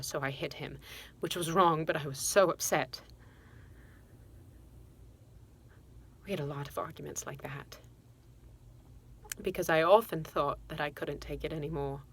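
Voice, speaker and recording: An actress playing a regretful, quick-tempered mother confessing to her estranged son, in a halting dramatic monologue. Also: on the low side, a little hiss or hum, lightly acted. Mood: sad, guilty. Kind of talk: dramatic monologue, self-taped audition.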